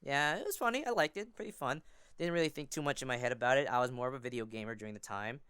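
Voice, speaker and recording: A man's voice: mid-range voice, very fast, a bit bright, clean tone, no room sound. A young man chatting casually as a podcast guest, rambling with a fan's enthusiasm. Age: young adult. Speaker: podcast guest, amateur voice actor and fandubber. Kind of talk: casual chat.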